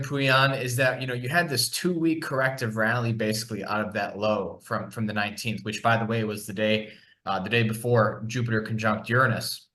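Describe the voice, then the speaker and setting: Bright-voiced male market podcast host. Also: mid-range voice. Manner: conversational, many fillers and restarts. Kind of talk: casual market discussion over a shared screen.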